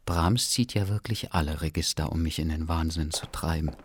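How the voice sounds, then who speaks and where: A man's voice: very deep voice, moderate pace. Bewildered everyman, noisy background. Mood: wry, uneasy. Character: exasperated, bewildered man caught in absurd events. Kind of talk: satirical radio play acting.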